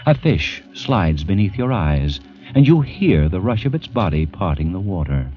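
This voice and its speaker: A grave, intense narrator from an old radio thriller. The voice is low and highly animated in its deliberate phrasing. Music plays prominently behind it. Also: male voice. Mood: grave, suspenseful. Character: grave second-person narrator of a condemned man's ordeal. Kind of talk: 1940s-50s radio drama narration. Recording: vintage radio, dull, loud music behind.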